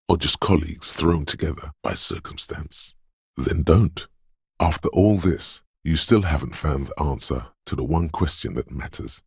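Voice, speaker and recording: A man voicing a brooding, superstitious inner voice of intuition in a video game, speaking in a hushed, portentous, theatrical way. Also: dry recording, studio quality, full character voice. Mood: ominous, eerie. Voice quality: very deep, gravelly, husky. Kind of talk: dramatic video game narration.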